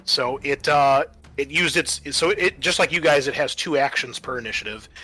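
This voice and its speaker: A man runs a tabletop roleplaying game as game master. His voice is bright and forceful, and he lays out the rules methodically, step by step. Music plays behind him. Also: mid-range voice, moderate pace, rough recording. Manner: methodical, explanatory.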